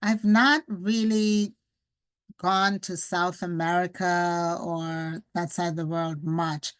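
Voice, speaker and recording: A woman's voice: lowish voice, little pitch movement, takes it slowly, clean tone. A woman hosting a podcast over a video call, asking curious open questions and adding her own remarks. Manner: curious, asks open questions, warm aside comments. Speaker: podcast host. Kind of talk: casual interview chat.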